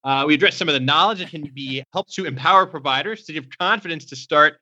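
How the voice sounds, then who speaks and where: Bright-voiced enthusiastic male co-host. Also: fairly high pitch, fast talker. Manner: enthusiastic, joking. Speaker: podcast co-host, physician. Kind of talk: casual podcast banter. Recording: slightly dull audio.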